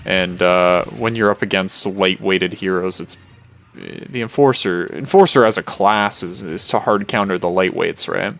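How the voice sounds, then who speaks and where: Tired, monotone man with a gravelly low voice. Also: measured pace. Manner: rambling, many fillers and restarts. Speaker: streamer. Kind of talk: casual gameplay commentary.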